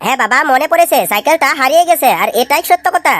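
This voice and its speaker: A cheeky young cartoon lad, played by a woman, wheedles excitably. The high voice rattles along quickly over faint music. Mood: pleading. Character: squeaky cheeky young cartoon slacker lads. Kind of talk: amateur comedy cartoon dialogue.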